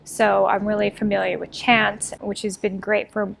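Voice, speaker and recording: Woman coach, really gravelly voice, faint room hum. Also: mid-range voice, little pitch movement, normal speed. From Canada. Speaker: head coach. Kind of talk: interview.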